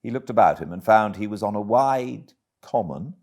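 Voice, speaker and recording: A British man narrating a classic storybook as an audiobook reader, with expressive delivery. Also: lowish voice, normal speed. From Britain.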